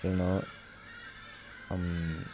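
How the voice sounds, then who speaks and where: Man, twenties, guest; very deep, slow, hesitant delivery. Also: monotone, music in the background. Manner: slow, hesitant, with many fillers. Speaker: podcast guest. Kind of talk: casual interview chat.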